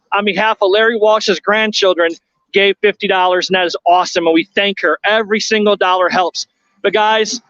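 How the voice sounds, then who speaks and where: A man with a high voice hosts a live donation appeal. He is earnest and pleading, repeating words for emphasis. The sound is dry and slightly dull. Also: fairly bright tone, dry recording. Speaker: host of a theater fundraiser.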